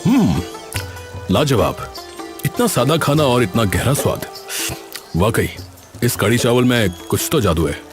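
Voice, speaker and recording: A man voicing a dignified, appreciative cooking contest judge in a cartoon, speaking in a measured, solemn way. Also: mid-range voice, very animated, over music. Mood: impressed. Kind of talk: cartoon character dialogue.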